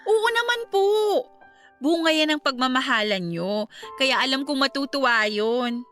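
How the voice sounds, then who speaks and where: A woman acting a polite young niece in a radio drama, reassuring and respectful, over soft music. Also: high voice, expressive, unhurried. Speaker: niece about to work abroad.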